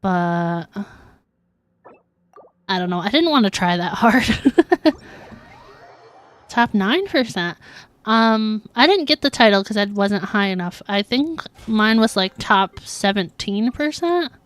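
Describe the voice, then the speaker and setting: A woman's voice: medium pitch, lively intonation, slow pace, long pauses. A woman livestreaming a game, chatting casually and informally with her viewers. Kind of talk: casual chat while streaming gameplay.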